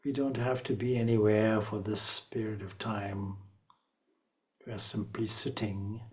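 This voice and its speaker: A man leading a guided meditation as a spiritual teacher, speaking calmly and in a measured way with long pauses. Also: lowish voice, dull audio.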